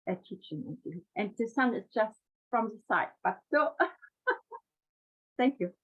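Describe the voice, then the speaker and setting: A woman from Canada with a mid-range voice introduces herself calmly. Her audio comes through thin and phone-like, as on a poor call line. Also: mid-range voice, measured pace, slightly rough. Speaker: teacher. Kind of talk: casual self-introduction.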